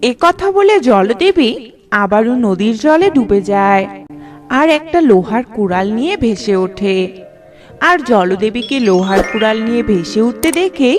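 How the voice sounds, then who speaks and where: A woman's voice: fairly high pitch, lively intonation, measured pace. A woman narrating a scripted storybook tale for a cartoon. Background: music.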